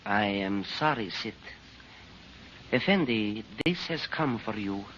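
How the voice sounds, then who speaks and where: An actor playing a deferential male servant with formal, deliberate speech in an old-time radio serial. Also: lowish voice, animated, fairly bright tone. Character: deferential, slow-spoken man servant. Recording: muffled, faintly noisy old radio recording.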